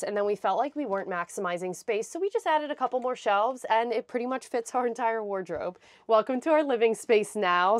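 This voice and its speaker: Young american lady doing a casual van tour, chatty, medium pitch, dry sound no echo. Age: young adult. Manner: chatty, explanatory. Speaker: vlogger giving a camper van tour. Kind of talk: casual walkthrough presentation. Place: inside a small camper van. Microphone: clip-on lapel mic.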